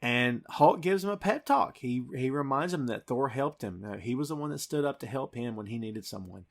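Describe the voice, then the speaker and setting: A man's voice: medium pitch, animated, fairly quick, vocal fry. A man hosting a podcast, talking through a film scene by scene in an emphatic, dramatic storytelling way. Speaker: podcast host.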